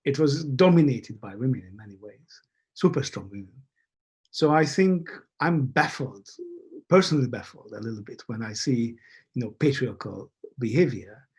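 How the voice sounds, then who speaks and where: A man from Hungary, speaking as an academic guest in a halting, musing way that leans on key words, over a video call. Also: mid-range voice, animated, a little mellow, slightly rough. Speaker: academic guest, behavioral scientist. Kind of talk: thoughtful interview conversation.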